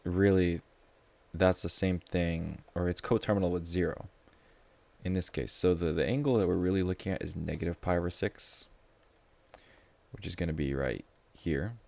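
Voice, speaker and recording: A man's voice: sits low, normal speed, vocal fry, darkish tone. A man tutoring math live in a casual, informal way, thinking aloud as he explains.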